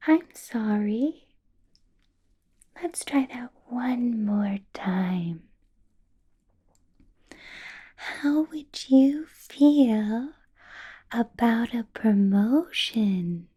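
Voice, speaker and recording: A seductive female vampire boss, played by a woman for an ASMR roleplay, speaks in a very breathy, husky whisper. She is possessive and politely menacing, drawing her words out extremely slowly with coaxing pauses. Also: on the high side, animated, warm tone, full character voice. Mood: doting, sly. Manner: slow, deliberate, coaxing, with lingering pauses. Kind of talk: ASMR roleplay, personal attention.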